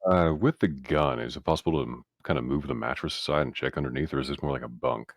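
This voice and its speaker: A man's voice: rumbling low, fast talker, no echo, studio quality. A man speaking as a player in a tabletop roleplaying game, putting questions and remarks in character.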